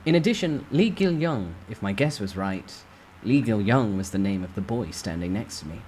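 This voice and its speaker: A man with a fairly low voice tells a story in the first person. He reads dramatically, as a storyteller, with faint ambient noise behind him. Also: lively intonation, normal speed, a little mellow, low-quality recording.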